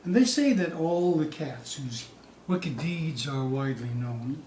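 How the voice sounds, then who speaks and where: A man giving a theatrical poetry recital, dramatic and suspenseful, with drawn-out phrases moving between hushed dips and loud peaks. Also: a little high, lively intonation. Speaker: poetry reader. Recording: distant, echoey room with faint hiss.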